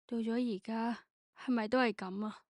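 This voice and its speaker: A woman's voice: fairly high pitch, steady tone, normal speed, no echo. A woman playing a hurt, anxious, loving wife in a radio drama, plaintive and searching. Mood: hurt, doubting. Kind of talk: Cantonese radio drama acting.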